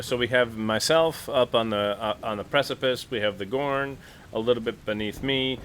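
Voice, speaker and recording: Male tabletop player, middle register, thinks aloud in stops and starts over noticeable rumbling noise. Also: a bit bright. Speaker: player in a tabletop roleplaying game. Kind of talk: casual, animated tabletop game chat.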